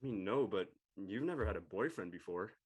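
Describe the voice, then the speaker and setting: A sarcastic teenage boy, acted by a man in casual drama dialogue. He has a husky, animated mid-range voice and mocks with dry jokes. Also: fairly low pitch, a little fast, low-quality recording. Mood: dry teasing.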